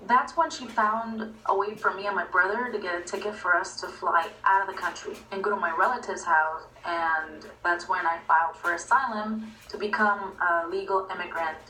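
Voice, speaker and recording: A woman's voice: medium pitch, normal speed, hardly any pauses, sharp tone, faint music behind. A woman in her twenties from Venezuela, narrating her own personal story. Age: 20s. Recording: echoey, distant playback with faint noise.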